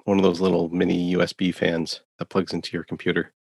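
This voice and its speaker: A man with a very deep, gravelly voice and a husky edge, co-hosting a podcast. He sounds laid-back and agreeable, giving short replies. The sound is dry and close, from a small closet over a video call. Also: completely flat delivery, quick pace, a little mellow, no echo. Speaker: podcast co-host. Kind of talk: casual chat. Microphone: video call audio.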